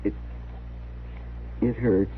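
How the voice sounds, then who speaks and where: An actor playing a simple, wounded, brooding young man with halting, folksy speech in a western radio drama. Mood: pained, dazed. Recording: muffled, hissy old radio recording.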